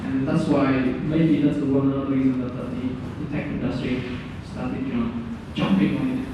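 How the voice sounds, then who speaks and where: A man from Hungary giving a technical lecture as guest speaker, hesitant and repeating words, with animated emphasis. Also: medium pitch, moderate pace. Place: lecture room. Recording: echoey hall, distant mic, dull sound, background noise.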